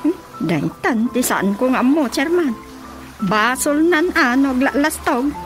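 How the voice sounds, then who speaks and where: High-voiced, grumbling village councilwoman, Ilocano. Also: expressive, measured pace, music in the background. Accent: Filipino, Ilocano. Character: female village councilor, grumbling to her chairman. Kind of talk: radio drama comedy acting.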